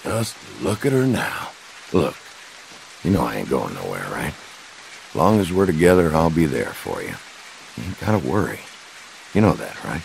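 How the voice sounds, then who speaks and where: A very deep-voiced middle-aged Southern American man in a dramatic game, kindly, teasing and devoted. His speech is folksy and fumbling, with pitch that swings widely, over faint rainy ambience. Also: huge pitch swings, gravelly, a little hiss or hum, full character voice, rough recording. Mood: earnest, tender. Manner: folksy, halting, fumbling for words. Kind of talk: naturalistic dramatic game dialogue.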